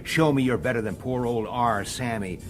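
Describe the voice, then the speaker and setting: A man's voice: medium pitch, normal speed, slight background noise, close to an everyday voice. A man acting in a radio drama as a weary, dogged police detective who narrates his own case with an urgent, exasperated manner. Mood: frustrated. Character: weary, dogged human police detective, sharp-tempered and intense. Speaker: detective and first-person narrator.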